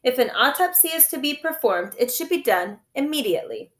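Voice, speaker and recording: A woman voicing a brisk, formal, self-important male doctor from a storybook. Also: sits high, animated, a bit bright, voice pushed up. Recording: echoey room.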